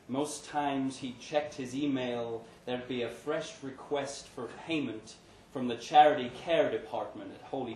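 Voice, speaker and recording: A man's voice: mid-range voice, a little mellow. Man reading a story, echoey noisy room. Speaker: story reader. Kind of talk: live literary story reading. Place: pub event room, live reading. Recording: echoey pub room, noticeable background noise.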